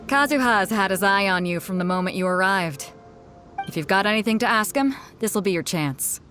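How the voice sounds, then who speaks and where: A woman's voice: medium pitch, a little fast, a bit bright, faint music behind, natural voice. A woman voicing a confident, worldly, teasing female captain in a video game, with an assured, wry manner. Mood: knowing. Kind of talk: English video game voice acting.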